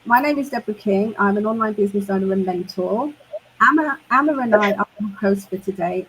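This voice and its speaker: A woman's voice: medium pitch, a bit bright, slight background noise, rough recording. Woman, 50s, from the UK, upbeat livestream co-host, repeats words for emphasis, mid-range voice. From United Kingdom. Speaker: co-host and online business mentor. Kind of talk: livestream panel chat.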